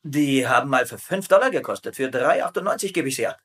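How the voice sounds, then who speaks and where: A man's voice: medium pitch, lively intonation, fast, fairly bright tone. A man playing a cheeky, easygoing prisoner of war and wheeler-dealer in sitcom banter, stammering slightly. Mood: salesmanlike.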